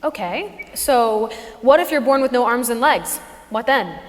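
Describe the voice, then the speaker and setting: A woman's voice: fairly high pitch, lively intonation, measured pace, in a large hall, low-quality recording. A woman playing a cynical, guarded teenage girl in a stage musical, with a dry, challenging way of talking. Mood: bitter, challenging. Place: school auditorium staged reading.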